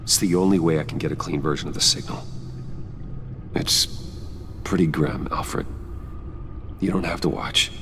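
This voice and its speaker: A man playing a grave, determined vigilante detective in a video game, speaking in measured, terse English. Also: a bass voice, fairly bright tone. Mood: somber, protective. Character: grave, determined adult male vigilante detective, low and controlled. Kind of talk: dramatic video game acting. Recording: clearly noisy game audio.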